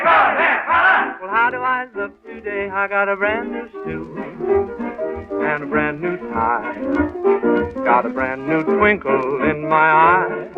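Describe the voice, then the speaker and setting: A man's voice: sits high, phone-quality sound. A man singing a jaunty, showy 1930s jazz novelty number with a dance band on an old radio recording. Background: loud dance band with brass.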